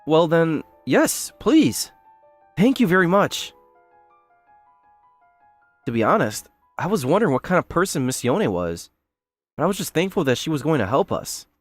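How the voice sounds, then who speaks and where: Male actor as young hotel owner, manga dub lead and narrator: polite, sincere, lightly high-pitched, very animated. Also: moderate pace, long pauses, dry recording. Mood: grateful. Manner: polite, earnest. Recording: background music under the voice.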